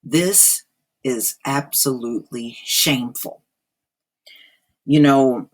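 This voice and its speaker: An American woman giving informal opinion commentary in a blunt, disapproving, emphatic manner, repeating phrases for effect. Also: extremely low pitch. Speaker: online commentator.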